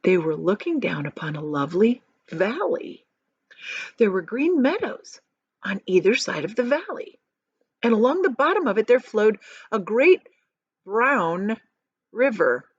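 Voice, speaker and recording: A woman's voice: mid-range voice, sing-song, a little slow, husky, dry recording, muffled recording. A woman teacher reading a story aloud to children, stressing descriptive words and explaining things in casual asides. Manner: explanatory, addresses young listeners, emphatic on descriptive words.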